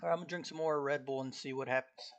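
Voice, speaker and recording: A man casually talking to himself while studying on a live stream, often clearing his throat, which feels irritated. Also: mid-range voice, fairly even intonation, rapid-fire, a bit bright. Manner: frequent throat clearing, laid-back, reciting notes from memory.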